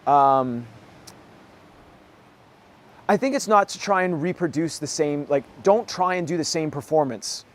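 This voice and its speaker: A man in his thirties with a hoarse voice, answering interview questions in a relaxed, casual chat and laughing often. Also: a little high, measured pace, long pauses. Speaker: actor being interviewed.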